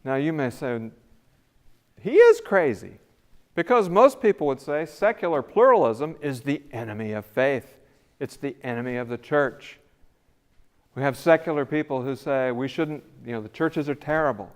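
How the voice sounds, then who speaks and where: A man's voice: medium pitch, animated, moderate pace. An older man giving a conversational lecture in a church sanctuary, with a big voice and rising emphasis on key words. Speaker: visiting scholar lecturer. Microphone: podium mic, then away from mic.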